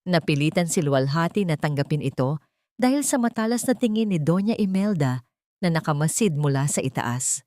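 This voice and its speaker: Audio story narrator: woman, somewhat low, dark mellow tone, dry close sound, scripted melodrama. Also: expressive, brisk, dry recording.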